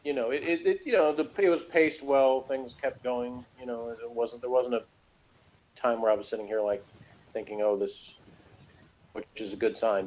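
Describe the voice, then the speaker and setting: A man chatting casually as he reviews television, rambling and halting, often repeating himself. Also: on the low side, darkish tone, dull audio. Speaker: podcast reviewer. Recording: noticeable background noise.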